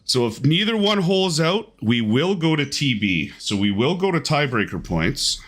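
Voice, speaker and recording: A man's voice: on the high side, big pitch swings, normal speed, a bit bright. A man hosting and commentating a live online golf game tournament in upbeat play-by-play.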